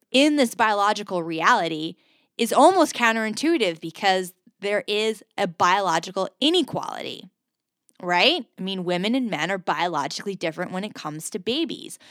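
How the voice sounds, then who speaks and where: A woman hosts a podcast interview in a conversational, earnest way, with "I mean" sprinkled through her sentences. Her voice sits at an ordinary middle pitch. The sound is dry and close. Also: sing-song, moderate pace, fairly bright tone, no room sound. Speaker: interviewer and host.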